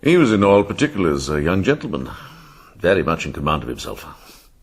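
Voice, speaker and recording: An actor playing a gentle, grave older monk in a radio drama. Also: male voice, deep voice, sing-song, a little fast, slightly rough, full character voice. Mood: gentle, reflective.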